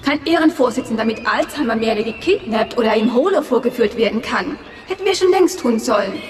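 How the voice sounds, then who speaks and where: A cool female villain in a sci-fi radio thriller, acted by a woman, speaking high with lots of movement in the pitch. She is courteous, mocking and merciless, her voice ringing in a long cavern echo. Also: expressive, brisk, slight background noise, natural voice. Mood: coldly decisive. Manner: polite, mocking, coldly amused. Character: cool, ruthless female party agent and villain. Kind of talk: German sci-fi radio crime drama acting. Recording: echoing cavern reverb, music behind.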